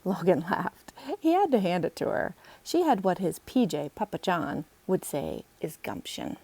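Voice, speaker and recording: A woman's voice: lowish voice, big pitch swings, measured pace, creaky, mellow, husky. A woman, the author, reading her children's book aloud as a storybook narrator.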